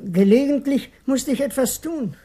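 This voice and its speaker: A woman's voice: high voice, animated, normal speed, warm tone. A woman playing a nervous, evasive small-time police informant in a German radio crime drama, hesitating and repeating words defensively. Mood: explaining, agitated.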